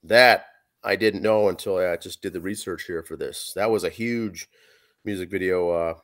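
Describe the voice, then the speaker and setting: A hoarse man in his forties co-hosting a podcast over a video call, reading album facts with fillers. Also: sits low, steady tone, normal speed, a bit bright. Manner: reads facts and track lists with fillers. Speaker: podcast co-host reviewing an album. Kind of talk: casual podcast chat, reading notes. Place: small room at home. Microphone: video call webcam.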